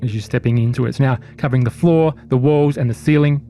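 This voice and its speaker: A man running a tabletop horror role-playing game as game master, narrating in deliberate, suspenseful phrases. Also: mid-range voice, mellow. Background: faint music.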